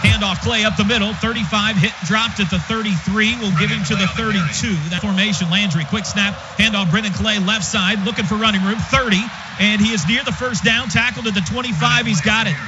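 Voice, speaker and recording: A high-voiced American man does radio play-by-play for football in short, clipped bursts. He is excitable and lifts his voice for big plays. The sound is dull and lo-fi, with loud stadium noise behind him. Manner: clipped, rapid-fire phrases. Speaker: play-by-play announcer. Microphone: broadcast booth microphone.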